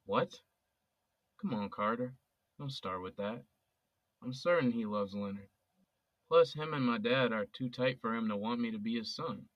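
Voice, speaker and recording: A man's voice: mid-range voice, normal speed, pauses often, dry recording, lightly acted. An actor playing a sincere young male police officer who talks casually and earnestly with his best friend. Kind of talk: audio drama acting. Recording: dull, dry, lo-fi recording.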